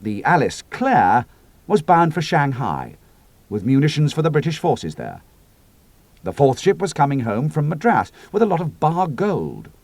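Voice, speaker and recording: A man reading the part of a calm, decisive squadron leader in a spoken story, in a crisp, assured, slightly clipped way. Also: a little high, unhurried, dry recording, pitched above the performer's own voice, exaggerated voice. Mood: grave.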